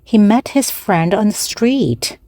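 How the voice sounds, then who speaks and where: A woman reading a children's story aloud slowly and carefully for language learners to repeat. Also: mid-range voice, lively intonation, dark tone, no echo. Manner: slow, careful, evenly paced reading.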